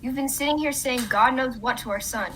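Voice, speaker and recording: Actress as furious fed-up ex-wife, high-pitched, sharp, scolding, radio drama, faint background noise. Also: female voice, a little fast. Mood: angry. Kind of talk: radio play acting.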